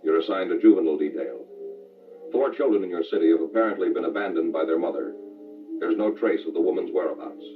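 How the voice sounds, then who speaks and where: A man playing a grave, deliberate announcer introducing a 1950s-style radio crime drama, muffled and distant with music behind. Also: low voice, lightly acted. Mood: solemn. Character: solemn male crime show announcer.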